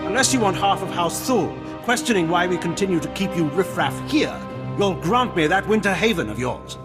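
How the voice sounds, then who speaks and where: A man's voice: high-pitched, expressive, a little fast, music in the background. A man playing a haughty, indignant extortionist nobleman in a sci-fi game, sneering and demanding. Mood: outraged, demanding. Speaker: video game voice actor. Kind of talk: dramatic game cutscene dialogue.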